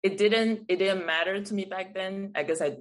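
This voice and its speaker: A woman's voice: medium pitch, normal speed. A woman from Taiwan speaking as a guest in a conversational podcast interview, explaining her views in a reflective way. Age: adult, parent of a school child. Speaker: guest, teacher and artist.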